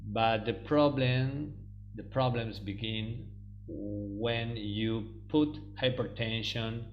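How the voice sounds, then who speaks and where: A man's voice: on the low side, lo-fi sound. A man from Argentina, a native Spanish speaker with an Argentine Spanish accent, giving a webinar presentation over a video call and often hesitating with ums and restarts.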